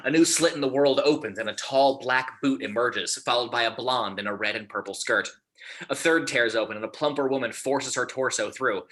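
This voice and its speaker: A man's voice: mid-range voice, bright, forceful tone, rough recording. A man reading stage directions aloud as the narrator of a script.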